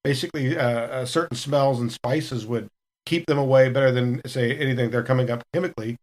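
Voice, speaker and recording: A man's voice: mid-range voice, fairly even intonation, brisk, darkish tone. A man chatting casually as a podcast cast member, telling stories in a rambling way. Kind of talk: casual retrospective chat.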